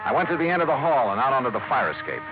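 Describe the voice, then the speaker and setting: Tinny vintage radio, man acting a hard-boiled American private eye, sardonic, clipped, swinging widely in pitch. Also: sing-song, racing, noisy background, close to an everyday voice. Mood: tense narration. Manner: sardonic, clipped, tough-talking. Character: wry, hard-boiled male private investigator. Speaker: lead actor in a detective radio serial. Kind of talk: 1950s radio acting, hard-boiled first-person narration. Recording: tinny 1950s radio recording, music underneath.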